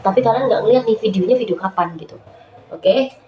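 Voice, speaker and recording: A woman's voice: mid-range voice, a little fast, mellow, soft background music. Nasal-sounding woman vlogger with a cold. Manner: chatty, many fillers, addresses viewers as guys. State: stuffy nose from a cold. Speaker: YouTube host giving writing tips. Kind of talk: casual tutorial vlog. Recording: roomy echo, faint background noise.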